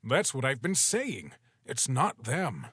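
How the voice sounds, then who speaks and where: A man's voice: huge pitch swings, takes it slowly, a bit bright, breathy edge, no echo, clean studio sound, exaggerated voice. A man voicing a weary, laid-back male skeleton who talks casually in a comic dub. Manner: low-key, casual slang.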